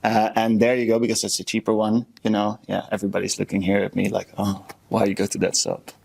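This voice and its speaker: A man's voice: fairly low pitch, monotone, fast, gravelly, darkish tone, husky. A man from the Netherlands with a Dutch accent, chatting casually and self-deprecatingly as a podcast co-host. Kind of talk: casual chat.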